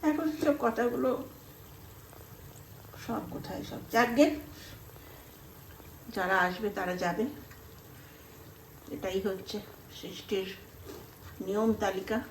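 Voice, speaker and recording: A woman's voice: mid-range voice, slow, long pauses. A middle-aged woman with an Indian Bengali accent, telling casual, rambling stories as she cooks at home and acting out remembered conversations. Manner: chatty, rambling, acts out remembered dialogue. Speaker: home cooking vlogger. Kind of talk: casual storytelling while cooking. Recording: roomy echo, some background noise.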